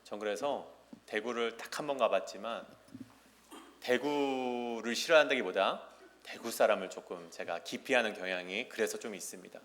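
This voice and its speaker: A man's voice: fairly low pitch, moderate pace, fairly bright tone, a little hiss or hum. A man in his thirties from South Korea, a pastor giving a sermon with personal storytelling in a church. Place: large church sanctuary. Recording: echoing church hall with long reverb.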